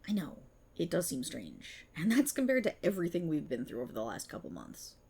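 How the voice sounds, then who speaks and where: A woman performs an indignant policewoman who knows she is stuck in a script. Her slightly rough-edged voice swings widely in pitch as she delivers her lines with sarcasm and theatrical exasperation, in the style of a dramatic audio roleplay. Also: wildly swooping pitch, fairly quick, warm tone, heavily acted. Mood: probing, wry. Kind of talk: ASMR audio roleplay monologue, dramatic acting.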